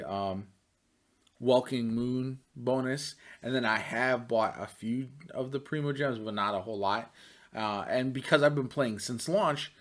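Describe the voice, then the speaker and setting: A man giving casual, off-the-cuff video game commentary in a loose, rambling way, as a gaming YouTuber. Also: medium pitch.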